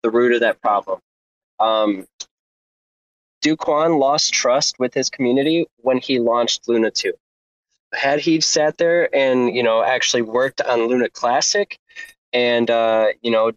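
A man's voice: medium pitch, no echo. A man in a casual online crypto discussion, explaining steadily with frequent ums and uhs. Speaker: crypto space co-host. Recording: dull, lo-fi online audio room.

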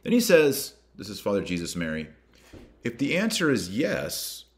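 A man hosting a religious commentary podcast, reading aloud and commenting in an emphatic, deliberate way with rhetorical questions. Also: lowish voice, huge pitch swings. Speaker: podcast host.

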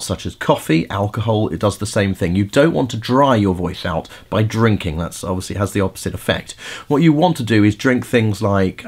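A middle-aged man hosting a podcast, giving casual vocal tips in a chatty way with many fillers and restarts. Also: on the low side. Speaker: podcast host and vocal coach.

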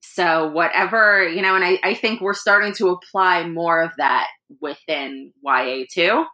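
A woman's voice: fairly low pitch, a little slow, pushed and bright. A woman, an author and editor, talking animatedly in a casual interview, laughing often and restarting her sentences. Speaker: author and anthology editor, guest.

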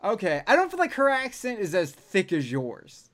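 Animated, joking podcast host man. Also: sits high, moderate pace. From American South. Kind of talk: casual podcast chat.

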